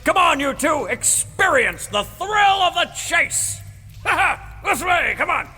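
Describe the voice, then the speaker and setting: A reckless, cocky adventurer, very high and forceful, hollering with bombast. He is hearty and a bit dim, and his lively voice rings through long reverb. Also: slow pace, exaggerated voice. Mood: gleeful, rallying. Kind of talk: audio drama acting. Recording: long cavernous reverb, music underneath.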